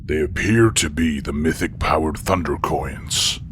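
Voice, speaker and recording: A man's voice: very deep voice, unhurried, fairly bright tone, slight background noise. A man playing an obedient winged alien warrior in an audio drama, formal and deferential. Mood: dutiful.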